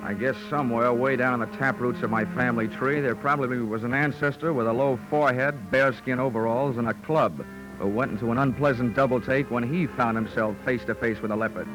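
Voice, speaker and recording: An actor playing a wry, hard-boiled insurance investigator with an American accent and a dry, sardonic manner, in 1940s radio style. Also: male voice, mid-range voice, fairly even intonation, fairly quick, music in the background, close to an everyday voice, poor audio. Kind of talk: 1940s radio acting, first-person narration. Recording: old 1940s radio transcription.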